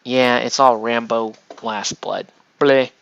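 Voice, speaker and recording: A man chatting casually on a livestream while he paints miniatures, rambling and excitable, often breaking off mid-sentence. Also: medium pitch, takes it slowly, vocal fry, no room sound, highs cut off. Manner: rambling, excitable, self-interrupting with laughs.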